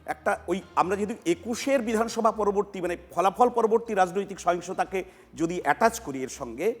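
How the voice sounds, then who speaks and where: A man's voice: high-pitched, normal speed. A man giving methodical panel analysis as an academic, often rephrasing his points. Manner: methodical, explanatory, repeats and rephrases. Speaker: professor and political analyst. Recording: reverberant sound with music bed.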